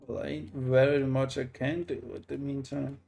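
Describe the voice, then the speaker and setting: A man livestreaming a game and chatting casually, halting and repeating himself. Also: medium pitch, little pitch movement, vocal fry, warm tone, faint music behind. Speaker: game streamer.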